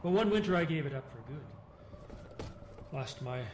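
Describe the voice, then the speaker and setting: Grave old trapper, roomy sound. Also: male voice, fairly high pitch, animated, measured pace, a little hiss or hum. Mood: grave, confiding. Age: older. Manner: terse, cryptic, folksy. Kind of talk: radio drama dialogue.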